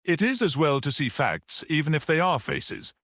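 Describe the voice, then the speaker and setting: Guy doing a calm village priest in an audiobook, grave n a bit wry, voice rises and falls a lot. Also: on the high side, dry recording, studio quality, pitched above the performer's own voice. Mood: equable. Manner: grave, equable, deliberate.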